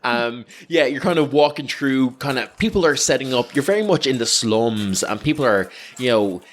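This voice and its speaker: A man narrating an improvised scene as game master in an informal, halting, conversational way. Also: mid-range voice, animated.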